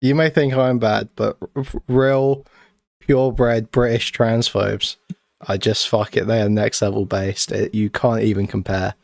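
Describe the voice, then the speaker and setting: A man's voice: on the low side, flat delivery, unhurried, creaky, a little mellow, no room sound, clean studio sound. A man streaming his reaction to a video, talking in a loose, slangy way with laughs and stumbling restarts. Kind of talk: casual chat.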